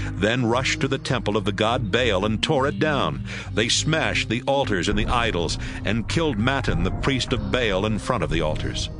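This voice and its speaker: Low-voiced, expressive male Bible narrator. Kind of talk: dramatic scripted Bible narration. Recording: music bed behind voice.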